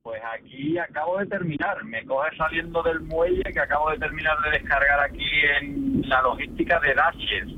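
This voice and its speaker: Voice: medium pitch, fairly even intonation, moderate pace, few pauses. A man who drives a truck, answering questions casually as a phone-in guest over a narrow phone line. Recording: narrow tinny phone line, faint noise.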